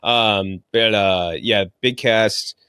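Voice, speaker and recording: A man's voice: lowish voice, fairly even intonation, takes it slowly, sharp tone, smooth, no echo, studio quality. A man hosting a podcast, chatting casually and bluntly about films in an informal way. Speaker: podcast host reviewing movies. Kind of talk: casual movie-review chat.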